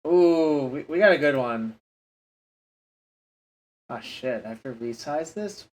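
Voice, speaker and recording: A man's voice: on the high side, lively intonation, slow pace. A man streaming a card game, talking casually to his chat and grumbling under his breath over his plays. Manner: frustrated, muttering to himself and chat.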